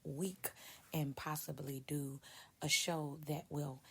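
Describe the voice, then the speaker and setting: A woman who writes and speaks on leadership, giving a casual motivational talk to her viewers in a hoarse voice, stressing her points by repeating them. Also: sits low, fairly even intonation, slow pace, a bit bright. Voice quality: raspy, husky. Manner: emphatic, repeats key points.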